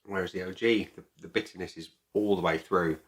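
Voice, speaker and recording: A man giving a casual product review to camera as a video host, speaking in a halting, deliberate way and repeating phrases. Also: low voice, fairly even intonation.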